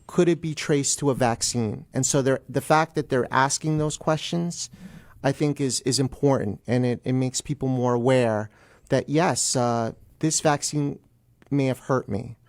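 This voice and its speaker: A man speaking as a guest attorney in a radio interview, explaining plainly in halting phrases. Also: medium pitch, no echo.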